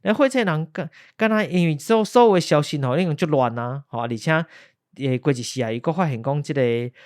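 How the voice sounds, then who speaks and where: A man's voice: on the high side, fairly quick, darkish tone, dry recording, studio quality. A man with a Taiwanese accent hosting a podcast, telling history conversationally and explaining step by step with repeated key phrases. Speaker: podcast host. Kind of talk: conversational storytelling about history.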